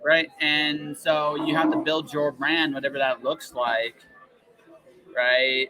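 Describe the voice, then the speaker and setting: A man's voice: on the high side, level tone, slow pace, sharp tone, poor audio. A man hosting a casual podcast interview in a rambling, emphatic way, often checking in with the listener. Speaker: podcast host.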